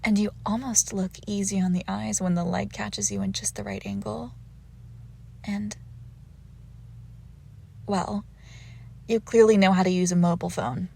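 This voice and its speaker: Haughty young female vampire, played by a woman, intimate roleplay audio: coy teasing, breathy near-whispers, slow delivery, faint background noise. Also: medium pitch, steady tone, warm tone.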